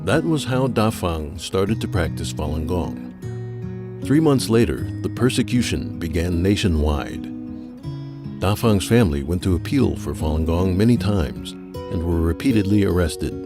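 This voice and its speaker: A man reading scripted documentary narration in a measured, solemn way. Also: low voice, lively intonation. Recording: narration over background music.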